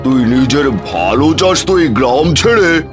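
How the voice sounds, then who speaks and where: A man acts out a commanding cartoon exorcist with a mid-pitched voice. He speaks slowly and firmly, stern and sure of himself, over loud music. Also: lively intonation, lightly acted. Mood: stern, challenging. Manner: slow, forceful, challenging. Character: commanding male village exorcist, stern and confident. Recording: loud music with chirping insects behind.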